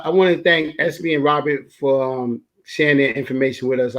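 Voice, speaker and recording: Male guest on a video call, earnest and advising. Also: mid-range voice. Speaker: guest speaker.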